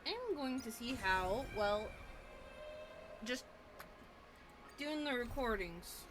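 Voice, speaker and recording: A woman giving casual gameplay commentary in a halting way, often trailing off mid-thought. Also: fairly high pitch, lively intonation, music in the background. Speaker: gamer recording a playthrough.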